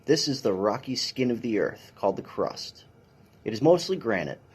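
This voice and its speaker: A man's voice: medium pitch, very animated, moderate pace, a little mellow, breathy edge. A man, a police officer, reading a children's science picture book aloud in an instructional way with brief dry asides.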